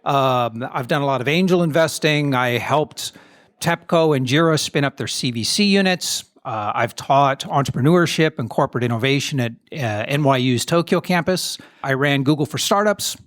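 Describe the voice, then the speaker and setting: A man's voice: mid-range voice, normal speed, fairly bright tone, no echo, studio quality. A man in his fifties who hosts a podcast and moderates a panel on stage at a conference, upbeat and talkative, now and then repeating words as he starts.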